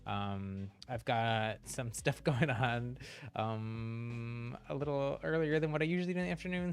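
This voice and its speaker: A man's voice: mid-range voice, vocal fry. A man hosting a livestream, chatting casually to viewers in a rambling, giggly way. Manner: rambling, frequent giggles mid-sentence. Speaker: live streamer and channel host.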